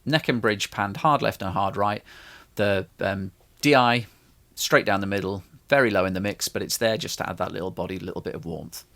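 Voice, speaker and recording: A man's voice: lowish voice, normal speed, creaky, a bit bright. A man casually explaining his home studio mixing process, in a loose, rambling way with many restarts. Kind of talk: casual tutorial talk.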